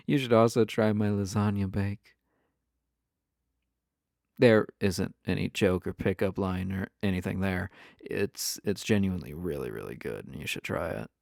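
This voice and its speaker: Creaky, mellow, flirty yandere husband, acted by a man. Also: low-pitched, a little slow, no room sound, studio quality. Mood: flirty, playful. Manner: teasing, suggestive, with whispered asides. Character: flirty, playful, obsessive yandere spouse. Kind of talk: intimate audio roleplay addressed to listener.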